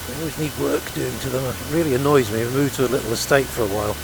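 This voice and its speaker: A man's voice: mid-range voice, gravelly, noisy background. Chatty older Welsh man on a motorbike. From Wales. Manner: chatty, grumbling asides, halting with fillers. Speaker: motorbike vlogger. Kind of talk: casual ride commentary.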